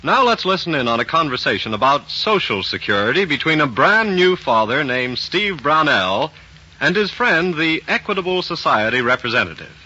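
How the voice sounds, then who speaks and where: A man's voice: on the high side, big pitch swings, normal speed, pushed and bright. A man announcing and delivering a scripted sponsor message in polished, emphatic 1940s radio style. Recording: dull 1940s radio recording.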